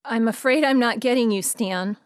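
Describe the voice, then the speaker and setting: A woman's voice: a little high, moderate pace, no room sound, clean studio sound, close to an everyday voice. A woman playing a sensible, friendly radio show host in a radio play, speaking in a casual, matter-of-fact way. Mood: skeptical, thoughtful.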